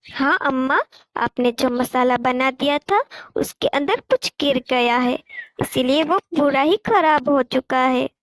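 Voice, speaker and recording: A woman's voice: sits high, a little slow, no room sound. A woman voicing an earnest, motherly little bird in a cartoon. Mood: worried. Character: hardworking, gentle mother sparrow, worried and earnest.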